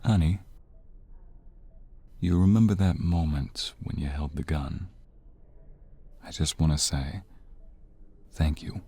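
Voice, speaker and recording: A man playing a tender, weary young mobster, a devoted and remorseful boyfriend, in a soft, intimate ASMR romance roleplay. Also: heavily acted. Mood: solemn, remorseful. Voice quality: very deep, husky, breathy. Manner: soft, slow, intimate, sighing.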